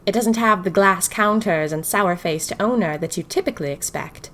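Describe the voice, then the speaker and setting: A woman acts out a bored, imaginative young typist from the 1920s in a diary-style fiction podcast. Her medium-pitched voice is expressive and wry. She confides each entry with dramatic pauses and a whimsical sense of mystery. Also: lightly acted. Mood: confiding, enchanted. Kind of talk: first-person diary audio fiction narration.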